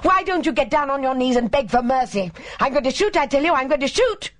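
A narrator voicing a raving, hysterical young man with a high, screaming voice, ranting bitterly in an audiobook story. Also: expressive, fairly quick, a bit bright. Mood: hysterical rage. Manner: ranting, repetitive, theatrical.

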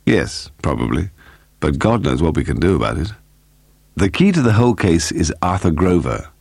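A man voicing a weary, thoughtful gentleman detective with a dry manner in an audiobook. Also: deep voice, sing-song, normal speed, creaky, no room sound, exaggerated voice. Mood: resigned. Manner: dry, resigned.

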